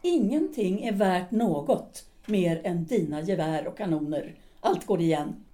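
An actress playing a cold, resentful middle-aged wife who speaks in a cool, measured and cutting way in a radio drama. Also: female voice, fairly low pitch, lively intonation, warm tone, close to an everyday voice.

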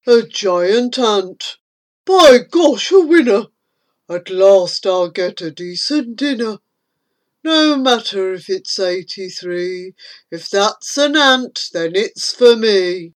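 A woman voicing a starving giant anteater in a comic verse story, pleading and deliberate. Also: medium pitch, animated. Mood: greedy, gleeful. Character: starving giant anteater, plaintive, then greedy and menacing.

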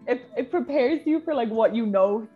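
A young woman co-hosts a casual podcast, teasing and laughing often. Her voice sits fairly high and swings widely in pitch. The sound is dull and lo-fi, with faint music behind. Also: expressive, normal speed. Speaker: podcast co-host and author. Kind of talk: casual chat.

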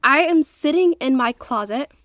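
A woman's voice: sits high, lively intonation, normal speed, heavy background noise. Congested woman chatting from a closet. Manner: chatty, playful storytelling with many fillers. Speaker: podcast co-host. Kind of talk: casual history chat. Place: small closet at home. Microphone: makeshift home setup.

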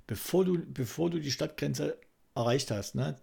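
A man's voice: a little high, normal speed, gravelly, crowd noise. A man hosting a podcast in casual conversation, rambling and reflective, often checking for agreement with little tag questions. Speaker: podcast host.

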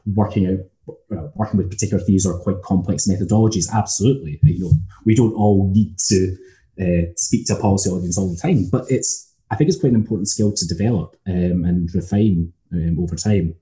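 A Scottish man, an academic, talking in a measured, thoughtful way with frequent ums. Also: low-pitched, warm tone, low-quality recording. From Scotland. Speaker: academic lecturer. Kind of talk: casual academic conversation.

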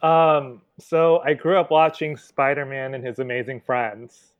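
A man in his thirties, a podcast guest, telling a lively childhood story with laughs. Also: medium pitch, a little slow, dry recording, narrow, tinny audio. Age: 30s. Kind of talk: casual podcast chat.

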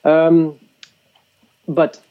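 A man's voice: on the high side, completely flat delivery, drawn-out words, clear, resonant tone, phone-quality sound. A man in casual conversation about music, a teacher who often restarts and repeats words as he thinks aloud.

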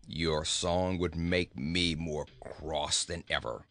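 A man voicing a surly talking goat who grumbles with sarcastic, deadpan scorn in a storybook reading. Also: very deep voice, takes it slowly, voice pushed down, exaggerated voice. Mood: surly, grumbling. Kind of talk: storybook character voice.

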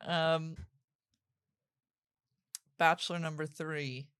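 A woman's voice: sits low, level tone, drawn-out words, a bit bright, smooth, no echo, studio quality. A woman guest on a podcast, giggly, playing along with improvised dating show banter.

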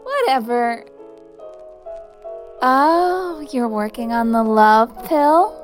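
Audio drama role: young female survivor, high voice, defiant and desperate, music underneath. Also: expressive, very slow.

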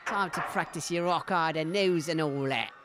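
A crooked, foul-mouthed mum, played by a woman speaks. Her voice sits a little low, and clear background noise runs under it in this comedy audio play. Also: normal speed, close to an everyday voice. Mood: gloating. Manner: blunt, crude, cheerfully sweary. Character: scheming crooked mother of two sons, coarse.

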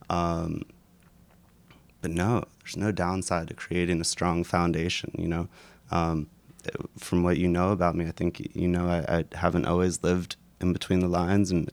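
A man in his thirties, a yoga and breathwork teacher, talking thoughtfully in an interview, halting and restarting often, a bit congested. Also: sits low, vocal fry, no echo. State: congested and sniffling. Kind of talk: reflective conversational interview.

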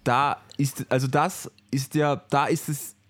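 A man with an ordinary mid-range voice in easygoing podcast chat. He explains things calmly and mimics beats with his mouth. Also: medium pitch, measured pace. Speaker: podcast co-host. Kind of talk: casual chat.

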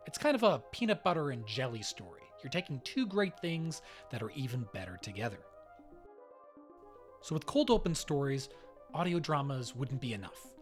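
A male podcast host delivers scripted narration with lively, swinging intonation at a medium pitch. Faint music plays underneath.

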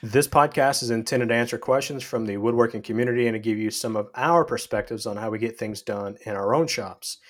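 A man hosting a woodworking podcast, reading the intro and sponsor thanks in a smooth, announcer-like way. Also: medium pitch, a little fast, few pauses. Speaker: podcast host. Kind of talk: scripted podcast intro and chat.

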